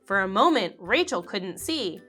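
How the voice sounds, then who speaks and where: A woman reading a children's picture book aloud, slowly and expressively. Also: medium pitch, slightly rough, soft background music. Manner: slow, expressive, animated character voices. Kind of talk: read-aloud storybook narration.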